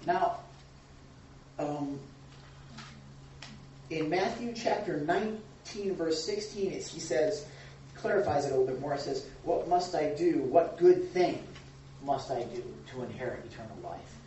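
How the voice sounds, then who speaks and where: A man's voice: fairly high pitch, a little slow, long pauses, dark tone, slight background noise. A man leading an informal Bible study, talking to the group and asking questions, with a frequent chuckle. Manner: conversational, asks the group questions, chuckles often. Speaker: pastor. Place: church room with a group. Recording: echoey room from far off, dull and lo-fi.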